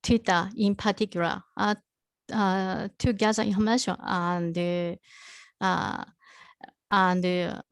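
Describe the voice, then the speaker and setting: A woman's voice: mid-range voice, steady tone, gravelly, clear, resonant tone, no echo. Hesitant Japanese-accented woman, dry close sound. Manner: hesitant, halting, searching for words. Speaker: presenter, researcher. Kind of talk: answering questions at an online academic session.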